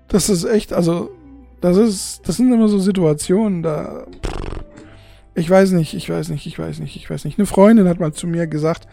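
A forty-year-old with a dark, husky voice and plenty of fry talks candidly to viewers. The delivery is halting and repetitive, searching for words, emotional and peppered with casual swearing. It is an unscripted, personal monologue. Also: mid-range voice. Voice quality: husky, gravelly.

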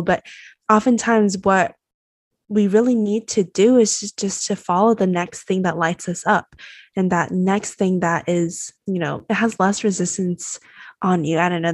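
A woman's voice: mid-range voice, no room sound, clean studio sound. A woman in her early twenties from Taiwan, a college student hosting a conversation, reflective and appreciative, with many fillers like "you know" and "um". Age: early 20s. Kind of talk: conversational interview.

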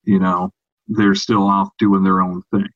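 A man's voice: deep voice, level tone, measured pace, no room sound, muffled recording. A man chatting casually as a podcast co-host. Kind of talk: casual chat about fiction series.

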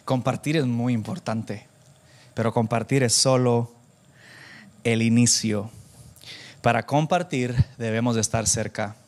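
A man preaching informally to a church congregation, animated and self-deprecating, bantering with listeners and dropping in English phrases. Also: mid-range voice, measured pace, long pauses. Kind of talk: informal conversational sermon with anecdotes.

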